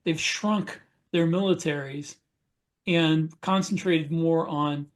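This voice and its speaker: Male podcast co-host, voice a bit high, casual explanatory delivery with pauses mid-thought. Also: steady tone. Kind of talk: casual discussion of writing military science fiction.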